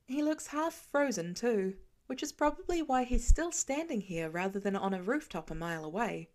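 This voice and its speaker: A woman narrating a fan story aloud in an expressive storytelling manner. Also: fairly high pitch, normal speed. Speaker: podfic reader. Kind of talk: audiobook fan reading.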